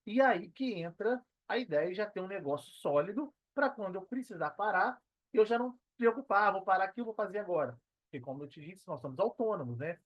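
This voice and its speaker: Brazilian guy in his 30s, kinda high voice, casual podcast chat with lots of slangy tags, dry muffled-ish sound. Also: lively intonation, fairly quick, dry recording. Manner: conversational, peppered with slang tags seeking agreement. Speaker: podcast guest, personal trainer and affiliate marketer. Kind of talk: casual podcast interview talk.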